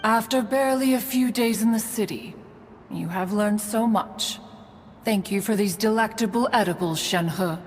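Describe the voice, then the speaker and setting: A woman's voice: mid-range voice, normal speed, in a large hall, faint music behind, natural voice. A woman playing a proud, doting old immortal mentor in a video game, speaking formally and archaically of herself as "one". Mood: stately. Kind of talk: scripted video game voice acting.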